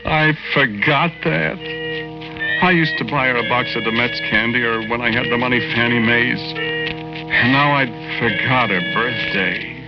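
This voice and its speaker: A man's voice: medium pitch, unhurried, lightly acted. A man acting in a 1940s radio drama as a good-hearted, sentimental ghost, telling his story in folksy, ungrammatical slang. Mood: taken aback. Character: good-hearted lovelorn working-class man's ghost, sentimental and naive. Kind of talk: 1940s radio acting, first-person narration. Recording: lo-fi 1940s radio recording, loud music.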